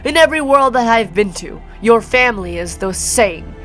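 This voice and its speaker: A woman's voice: fairly high pitch, expressive, normal speed, a bit bright, soft background music. A woman reading the part of a mad, bitter male villain sorcerer in a grandiose, formal video game monologue. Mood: bitter, vengeful.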